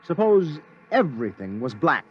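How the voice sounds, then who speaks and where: An earnest male reporter in an old radio drama, asking questions with a slight hesitance. His voice sits a touch high and moves around a lot. The sound is dull and lo-fi. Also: very animated, normal speed, breathy edge. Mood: inquisitive. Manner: inquisitive, hesitant. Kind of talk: 1950s radio drama acting.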